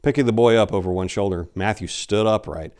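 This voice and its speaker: A man in his forties giving a theatrical, deliberate dramatic reading of a horror story, with emphatic swells and hushed drops. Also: fairly low pitch, clean tone. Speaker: author reading a short story. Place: indoor room lined with bookshelves. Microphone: lapel mic.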